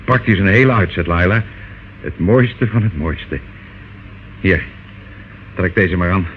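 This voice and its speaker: A man's voice: sits low, unhurried. A man playing a patronizing older gang boss in a radio play, coaxing and bossy. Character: smooth-talking, patronizing older male gang boss, falsely soothing. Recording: muffled, noisy old radio recording.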